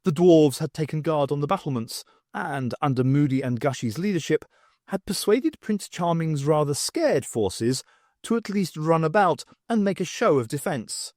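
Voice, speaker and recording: Casting: man for dramatic audiobook narration, very animated delivery, close dry recording. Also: fairly high pitch, normal speed, dry recording. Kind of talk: scripted dramatic story narration.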